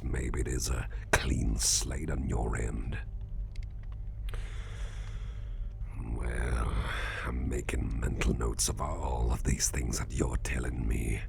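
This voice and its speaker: Man playing a very deep, gravelly whispering menacing boss. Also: background noise, exaggerated voice. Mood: musing, probing. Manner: slow, deliberate, probing, with musing hums. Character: suspicious, menacing male boss interrogating an employee, coolly courteous. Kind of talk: whispered ASMR roleplay.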